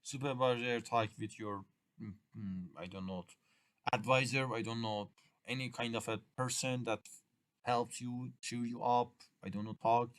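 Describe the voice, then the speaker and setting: Man, Persian accent, middle-aged, English exam teacher, halting, repeats phrases, mid pitch. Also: steady tone. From Iran. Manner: halting, restarts and repeats phrases, uneven grammar. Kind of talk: workshop lecture to students.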